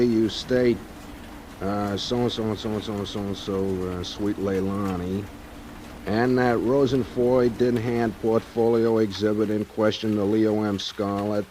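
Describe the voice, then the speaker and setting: An actor playing a stern, plodding police captain with a dry bureaucratic manner in a 1940s radio crime drama. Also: male voice, lowish voice, darkish tone, lightly acted. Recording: noisy old radio recording.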